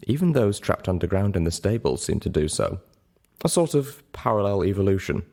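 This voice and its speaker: A man's voice: deep voice, sing-song, a little fast, gravelly, dark tone, heavily acted. A man voicing a guarded, thoughtful grey female unicorn telling her tales in a storybook reading.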